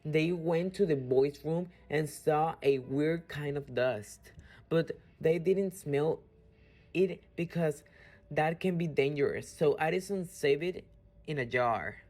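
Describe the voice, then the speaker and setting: A woman reading scripted radio drama narration haltingly, correcting small slips as she goes. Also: deep voice, darkish tone. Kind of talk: scripted narration, read aloud. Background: music.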